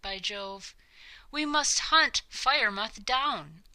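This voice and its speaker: A woman's voice: on the high side, expressive, takes it slowly, pushed and bright, exaggerated voice. A woman voicing an obsessed, blustering king who warns of a monster, in a children's storybook reading. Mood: alarmed, blustering. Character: King Minos, obsessed alarmist monster-hunting ruler, male.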